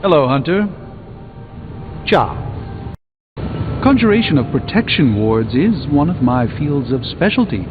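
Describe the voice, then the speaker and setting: An actor playing an erudite, pompous sorcerer with a dry, articulate wit in a video game. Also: male voice, fairly high pitch, big pitch swings, unhurried, long pauses. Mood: businesslike. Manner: pompous, dryly witty, articulate. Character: erudite, haughty, wry male sorcerer. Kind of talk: video game character dialogue. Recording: muffled phone-like game audio under music.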